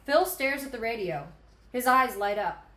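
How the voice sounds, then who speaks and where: A woman's voice: a little high, expressive, normal speed, sharp tone. A woman reading stage directions as the narrator of a screenplay table read. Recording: echoey room, background noise.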